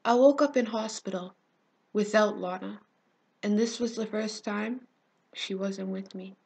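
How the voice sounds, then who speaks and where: Woman acting as haunted teenage girl, dramatic monologue, slow and halting, soft breathy voice, tender, grieving. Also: medium pitch. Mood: mournful. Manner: slow, halting, emotive, swelling from hush to intensity.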